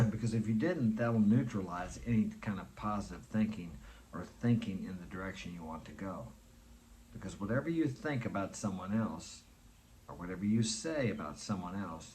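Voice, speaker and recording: A man's voice: fairly low pitch, darkish tone, slight background noise. A man in his sixties giving an earnest, preachy motivational talk, repeating his points for emphasis. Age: 60s. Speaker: motivational speaker. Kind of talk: motivational monologue.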